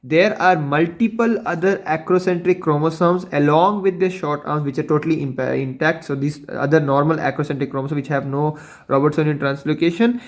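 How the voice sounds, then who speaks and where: A man lecturing in an explanatory science lesson, didactic, posing questions and then answering them himself. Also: a little high. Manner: didactic, asks and answers his own questions.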